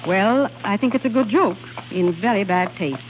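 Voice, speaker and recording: A woman's voice: mid-range voice, moderate pace, lightly acted. An actress playing a wry, worldly, managing society lady in a 1930s radio drama, with an arch, refined, theatrical delivery. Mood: amused, arch. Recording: hissy, tinny old radio broadcast.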